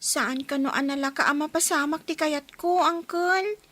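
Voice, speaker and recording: An earnest young child in a radio drama. The voice is extremely high and small, innocent in its directness. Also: female voice, normal speed, sharp tone, no echo. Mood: earnest, pleading.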